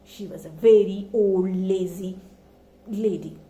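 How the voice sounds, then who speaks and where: A woman's voice: medium pitch, slow, dark tone, reverberant. A woman telling a story to children in a dramatic style, often putting questions to her listeners.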